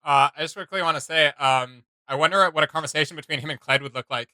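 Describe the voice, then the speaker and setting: A man with a fairly high, very bright voice gives casual reaction commentary, recorded dry and close. He rambles conversationally like a host thinking out loud. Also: fast talker, no room sound.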